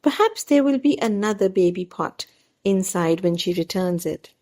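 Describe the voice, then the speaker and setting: A woman's voice: medium pitch, expressive, normal speed, mellow, natural voice. A woman voicing an obliging but greedy neighbour in a children's storybook, speaking emphatically and expressively. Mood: hopeful. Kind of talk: children's storybook character reading.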